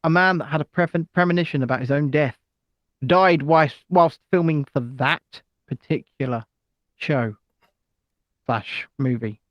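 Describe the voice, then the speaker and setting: Sex: male. Role: live podcast co-host. Pitch: a touch high. Sound: dry and close. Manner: rambling, full of ums and tangents. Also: unhurried, dry recording, lo-fi sound. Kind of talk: casual live chat.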